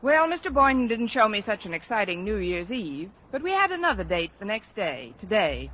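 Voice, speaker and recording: An actress playing a sardonic, wry English teacher with dry theatrical delivery in a 1940s radio sitcom. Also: female voice, medium pitch, sing-song, moderate pace, a bit bright. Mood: breezy, wry. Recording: muffled, noisy old radio recording.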